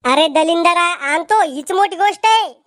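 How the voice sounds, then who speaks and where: A man voicing a cheeky, quarrelsome village cartoon character with a Varhadi Marathi accent and a pitched-up voice. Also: normal speed, noisy background. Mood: scolding. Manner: brash, sarcastic, bickering.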